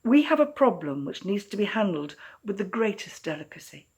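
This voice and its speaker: A woman's voice: medium pitch, big pitch swings, brisk, husky. A woman voicing a nervous female city mayor in a storybook tale, speaking formally and urgently. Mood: nervous, hushed.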